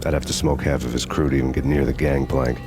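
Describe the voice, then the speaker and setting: A jaded noir detective for a video game. The voice is extremely low and deadpan, with a wry, sardonic edge and little wish to sound lively. Music plays clearly behind it. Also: male voice, fast talker. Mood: brooding. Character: jaded, sardonic hardboiled cop, adult man. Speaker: game protagonist and narrator. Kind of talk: hardboiled noir game narration.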